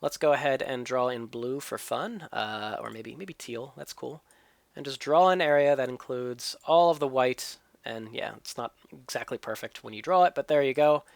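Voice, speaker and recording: Game host, male, slightly stuffy from a cold, explanatory thinking-aloud delivery, mid pitch, dry close sound. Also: normal speed, gravelly, dry recording. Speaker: let's play host. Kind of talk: gameplay commentary and tutorial.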